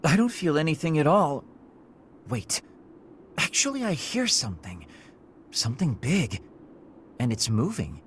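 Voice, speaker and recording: A man's voice: fairly high pitch, big pitch swings, measured pace, long pauses, noisy background. A man playing a thoughtful, observant young man in a fantasy video game, speaking articulately. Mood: alert. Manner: articulate, descriptive. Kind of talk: English video game voice acting.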